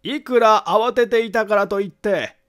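Man acting a very high-voiced, confiding gentleman. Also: clear, resonant tone. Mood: earnest, explanatory. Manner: polite, deliberate, confiding. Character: grave, secretive man calmly arguing a friend's innocence. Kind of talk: audiobook mystery story character acting.

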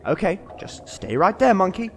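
A man's voice: high voice, big pitch swings, moderate pace, a bit bright, slight background noise, exaggerated voice. A man playing a vain, hapless swordsman in an audio comedy drama. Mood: wary.

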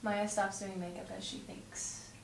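A woman's voice: mid-range voice, flat delivery, a little slow. A young woman reading scripted stage directions aloud at a table read. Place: home dining room, around a table. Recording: roomy, distant mic, clear noise.

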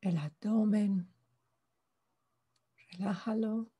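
A woman leading a guided meditation in a soft, breathy voice, calm and hushed, pausing between short phrases. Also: medium pitch. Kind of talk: guided meditation, slow spoken instructions.

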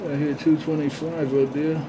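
A man's voice: on the high side, level tone, moderate pace. A man talking as a CB radio operator, repeating call signs and catchphrases in a chanting way over a radio channel. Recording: noisy, dull CB radio audio.

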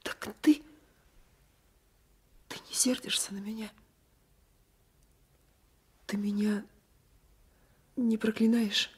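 Anguished young village wife in a radio play, super breathy husky voice. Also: female voice, medium pitch, flat delivery, slow pace, long pauses, a little mellow. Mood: fearful, hushed. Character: anguished young peasant wife, fearful, pleading. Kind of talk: Soviet 1980s radio drama acting.

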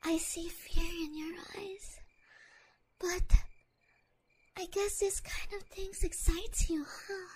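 A woman voicing an obsessive, possessive young woman in an audio roleplay, talking in soft whispers with giggles. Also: very high voice, steady tone, slow, long pauses, rough recording.

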